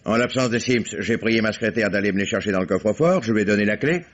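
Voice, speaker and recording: An actor playing a stern, curt factory owner in an old French radio mystery, answering formally and drily. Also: male voice, on the low side, fast talker, close to an everyday voice. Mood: dry, formal. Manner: clipped, formal, dry. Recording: dull old radio drama recording.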